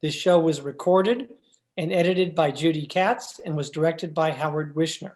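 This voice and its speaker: Casting: male radio-play announcer, measured formal read of cast and production credits. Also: a little high, a little mellow. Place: at home, remote session.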